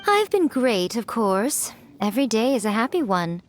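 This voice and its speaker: A woman's voice: fairly high pitch, big pitch swings, normal speed. An actress playing a sly, teasing, ageless yokai woman in a video game, with a smug, poised and playful manner. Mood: fond, playful. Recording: clearly audible background noise.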